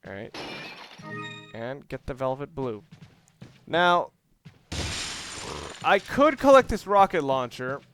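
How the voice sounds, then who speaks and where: A man's voice: medium pitch, huge pitch swings, takes it slowly, long pauses, fairly bright tone. A man giving casual let's play commentary, rambling and thinking aloud as he explains what he is doing. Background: game music and sounds.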